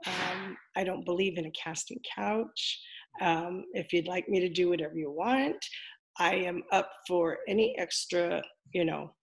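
An older woman with a deep voice, a former wrestler chatting casually as an interview guest, rambling with lots of fillers. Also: vocal fry, low-quality recording.

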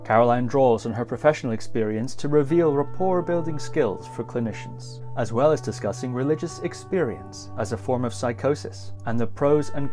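A man's voice: mid-range voice, a little mellow. A man hosting a podcast, reading a formal introduction and then asking long, polite questions with some fillers and restarts. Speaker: podcast host. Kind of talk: podcast interview, scripted intro then chat.

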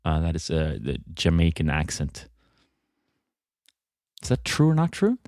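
A man's voice: a bass voice, huge pitch swings, unhurried, darkish tone, no echo, clean studio sound. A man hosting a comedy podcast, asking questions with many hesitations and fillers. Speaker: podcast host.

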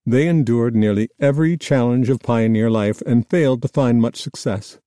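Mellow man narrating, dry studio sound. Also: mid-range voice, normal speed, no room sound. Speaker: audiobook narrator. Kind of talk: scripted nonfiction narration.

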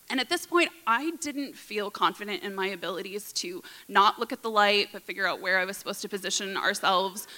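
A woman in her thirties telling a personal story to an audience in an earnest, vivid present-tense way, often dropping to a hush. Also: medium pitch, rough recording.

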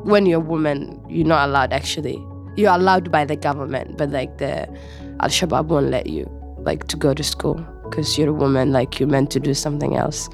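A young woman with a Somali accent speaks as an interview guest. She tells her own story casually, in halting, informal phrases, with faint music behind her. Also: deep voice, gravelly. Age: young adult. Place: studio.

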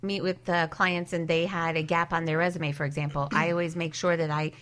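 A woman's voice: lowish voice, little pitch movement, brisk, fairly bright tone. A woman career coach speaking as a guest on a talk show, giving conversational advice.

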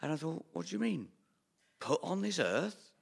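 A man playing a self-styled evil genius who now rules the world, deadpan and rambling, with stammered restarts and chuckles, before a live theatre audience. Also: a little high, moderate pace, creaky, breathy edge, pitched above the performer's own voice, exaggerated voice. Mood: deadpan, smug. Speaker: comedian playing a new supreme commander of the world. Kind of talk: improvised comic interview in character.

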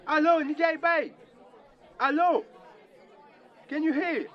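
A man acts an earnest young migrant son in an audio drama. His voice is high and slow, pleading down a thin, dull phone line. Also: fairly even intonation, some chatter behind. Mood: anxious, hopeful. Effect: phone line filter.

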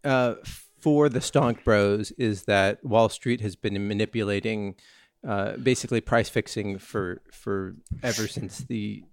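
A man's voice: on the low side, unhurried, no echo. Rambling man on a dry close mic. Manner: rambling, many fillers and restarts. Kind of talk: casual chat.

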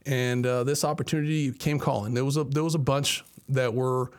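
A man's voice: mid-range voice, fairly even intonation, a little fast. A man in his forties from Miami, a college athletics director, telling his story conversationally as an interview guest. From Miami, Florida, United States. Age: 40s.

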